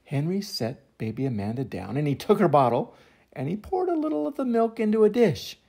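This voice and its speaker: An older man reading a children's picture book aloud as an expressive storyteller. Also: sits high, a little fast, gravelly. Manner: expressive, acts out sound effects and voices.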